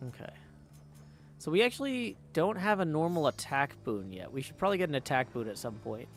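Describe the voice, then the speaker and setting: Higher-pitched man streaming a game over music. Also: sing-song, a little fast. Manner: thinks aloud, reads game text out. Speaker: game streamer practicing voice acting. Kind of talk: casual live game commentary, reading aloud.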